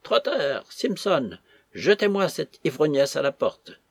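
Man putting on a furious, high-pitched haughty lady. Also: very animated, a little slow, no echo, clean studio sound, exaggerated voice. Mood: outraged. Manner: imperious, shrill outcries.

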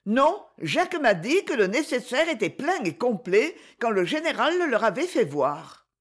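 A woman's voice: fairly high pitch, very animated, moderate pace, pitched above the performer's own voice. A woman doing a worried motherly lady. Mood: concerned.

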